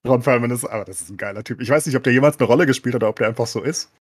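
A man's voice: medium pitch, very fast, husky. A man in a casual podcast discussion, talking colloquially and opinionatedly with English words mixed in. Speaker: podcast co-host.

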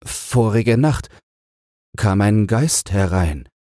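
A man's voice: on the low side, animated, slow, mellow, dry recording, clean studio sound, voice pushed up. A man voicing a fearful, reverent gardener in a fairy tale, speaking in careful, earnest awe. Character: gardener, fearful, reverent servant reporting a ghost.

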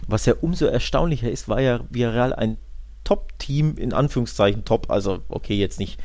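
A man's voice: mid-range voice, a little fast, darkish tone, no room sound. A man hosting a football podcast, chatting casually and giving opinionated, colloquial commentary on the game. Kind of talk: casual football talk with live match commentary.

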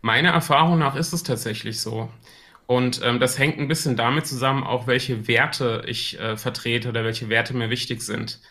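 A man's voice: medium pitch, fairly even intonation, moderate pace, bright, forceful tone. A man with a Hessian accent, a podcast guest talking about marketing in a thoughtful way with many filler sounds. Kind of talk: casual podcast interview.

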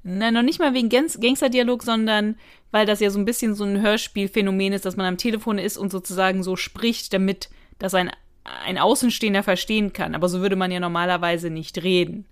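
A woman's voice: medium pitch, fairly even intonation, fairly quick, smooth. A woman co-hosting a podcast, chatting casually in a matter-of-fact way. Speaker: podcast co-host.

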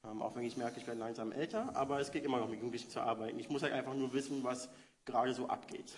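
A man's voice: mid-range voice, steady tone, fast. A man, a youth worker telling his story in a chatty, self-deprecating way during a church interview. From Berlin, Germany. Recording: hall reverb, faint noise.

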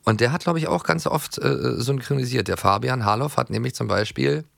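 A man's voice: mid-range voice, brisk, vocal fry, fairly bright tone, no echo, studio quality. A middle-aged man co-hosting a podcast in casual chat, enthusiastic and repeating words for emphasis. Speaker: podcast co-host.

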